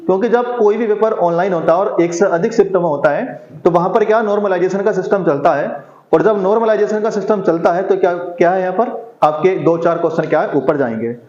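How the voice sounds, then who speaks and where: Guy coaching exam students, high voice, keeps asking then answering his own questions, muffled lo-fi audio. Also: darkish tone. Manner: asks himself rhetorical questions and answers them; repeats key figures for emphasis. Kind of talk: explanatory exam-prep lesson. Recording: echoey room, dull lo-fi sound.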